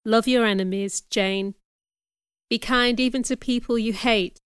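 A woman voicing a gentle, patient, forgiving schoolgirl in an audiobook, speaking quietly and calmly. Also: on the high side, measured pace, clear, resonant tone, no echo, studio quality, lightly acted. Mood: earnest, gentle. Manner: quiet, soft, calm. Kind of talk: audiobook character voice.

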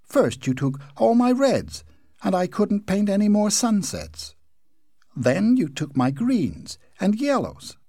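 A man's voice: mid-range voice, huge pitch swings, unhurried, a little mellow, breathy edge, no echo, clean studio sound, heavily acted. A man voicing a prim, indignant young American girl who scolds sharply.

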